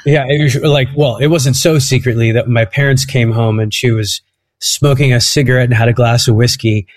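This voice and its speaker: A man's voice: medium pitch, steady tone, moderate pace. A man from Egypt, a comedian guest on a podcast, telling stories in a rambling way and laughing at them. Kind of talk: casual interview chat.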